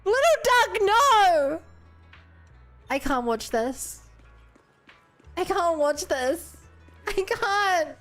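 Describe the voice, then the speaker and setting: A high, animated woman's voice with a New Zealand accent, streaming a game. She chats casually and reacts as she plays, with music behind her. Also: drawn-out words, pauses often. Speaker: livestreamer.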